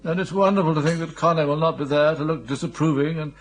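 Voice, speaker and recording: Henpecked elderly English lord played by a man, fairly high voice, dithering and forgetful, for radio comedy. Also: steady tone, quick pace, natural voice. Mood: rueful. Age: older. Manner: dithering, bewildered, plaintive. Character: vague, henpecked elderly earl, forgetful and easily dismayed. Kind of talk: British radio comedy drama acting.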